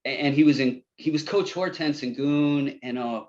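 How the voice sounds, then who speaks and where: A man's voice: fairly high pitch, moderate pace, darkish tone, dull audio, close to an everyday voice. An actor at a table read playing a breezy, chummy male movie director, slangy and eager to seem cool. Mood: showing off, excited.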